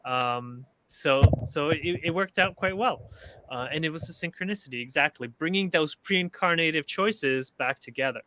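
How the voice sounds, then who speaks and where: A man from Canada hosting a discussion group, telling casual personal anecdotes in halting, hesitant phrases. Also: on the high side, pushed and bright, slight background noise, low-quality recording.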